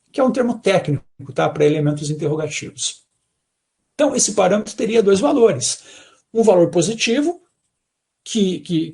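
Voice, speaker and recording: A man's voice: sits high, vocal fry, darkish tone. A man with a Brazilian Portuguese accent giving an academic talk over a video call, explaining step by step and checking in with listeners often. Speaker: lecturer.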